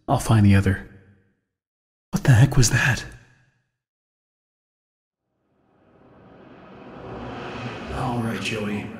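A man's voice: on the low side, a little mellow, lightly acted. An actor playing a weary, wary American man exploring a haunted cartoon studio in a video game, muttering his scripted lines in an understated way. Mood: determined. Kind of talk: scripted game character dialogue. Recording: echoey game audio with long reverb.

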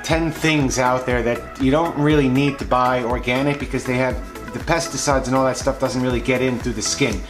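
A man talks through grocery deals in a frank, offhand way, full of ums and restarts. His voice sits at a middle pitch. Clear background music plays under him throughout. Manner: frank, advice-giving, many ums and restarts. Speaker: vlog host reviewing grocery flyers. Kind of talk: casual off-the-cuff commentary. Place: indoors at a table, hands over flyers.

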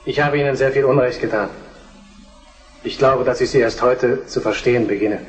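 An actor playing an earnest, measured Prussian crown prince in a historical German radio drama, formal and deliberate. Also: male voice, mid-range voice, close to an everyday voice. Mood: earnest, conciliatory. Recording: distant microphone with music behind.

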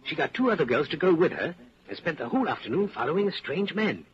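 A man's voice: fairly high pitch, big pitch swings, fast. A man acting in a 1940s radio drama as a hearty, dim, boastful middle-aged family man, chummy and blustering. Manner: chummy, blustering, hesitant hums. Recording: muffled, hissy 1940s radio recording.

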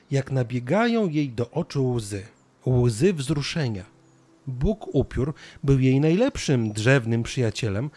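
Animated male storyteller. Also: medium pitch. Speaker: narrator. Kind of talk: scripted children's story narration.

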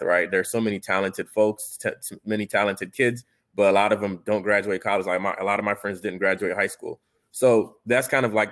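A man's voice: on the low side, fairly bright tone. A man from Haiti telling his story earnestly as a panelist on a video call, with many fillers and restarts.

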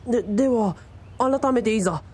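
A woman's voice: fairly high pitch, moderate pace, darkish tone, slightly rough. A woman playing an earnest young male part-timer in a radio drama, telling his tale with pompous, very polite theatricality. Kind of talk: radio drama, formal old-fashioned storytelling.

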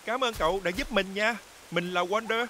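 An actor voicing a shy, earnest little theatre truck who dreams of performing, polite and expressive, in a cartoon dub. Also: mid-range voice, moderate pace, a little hiss or hum, lightly acted.

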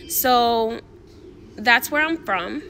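A woman's voice: on the high side, expressive, very slow, pushed and bright, a little hiss or hum. A young woman, talking casually to her phone camera outdoors, rambling with lots of fillers and restarts. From Dominican Republic. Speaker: spiritual coach vlogger. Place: backyard outdoors. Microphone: phone at arm's length.

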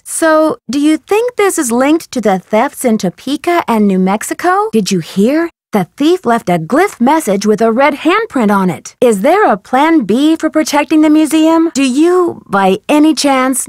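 An actress with an American accent playing a curious, polite, quick-witted young amateur detective in a video game, articulate and inquisitive. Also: female voice, high-pitched, no room sound, clean studio sound. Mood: curious, concerned.

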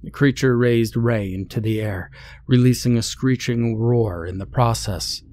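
A man's voice: medium pitch, a little slow, a little mellow. A man narrating a scary story in a scripted, dramatic storytelling style.

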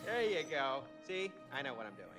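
A man playing a goofy, excitable, boastful husband in a video game. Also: medium pitch, moderate pace, loud music behind the voice, lightly acted. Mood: smug. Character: goofy, excitable husband, proud of his inventions. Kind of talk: video game character acting.

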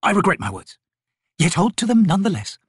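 Awkward, grumbling soldier-scout acted by a male narrator, scarred and wry, fantasy audiobook, rough-edged, animated voice. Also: high-pitched, brisk, no echo, studio quality, pitched above the performer's own voice, exaggerated voice. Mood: apologetic. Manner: wry, grumbling, self-deprecating.

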